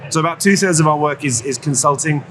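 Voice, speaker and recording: A man, a company chief executive, giving measured, articulate answers as a guest in an interview. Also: fairly high pitch, crowd noise. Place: trade show floor. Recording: live event with clear background noise. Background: show-floor ambience.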